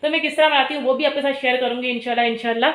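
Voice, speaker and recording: A woman's voice: high voice, steady tone, racing, fairly bright tone. A woman vlogging at home in her kitchen, chatty and talking viewers through each step, with a sore throat. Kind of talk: casual cooking vlog narration.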